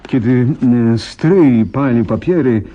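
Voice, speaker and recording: An anxious client, muffled noisy recording. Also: male voice, medium pitch, lively intonation, takes it slowly, warm tone. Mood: flustered, anxious. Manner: flustered, hesitant, sighing, deferential. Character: anxious, hesitant male client seeking a detective's help. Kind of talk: Polish radio drama acting.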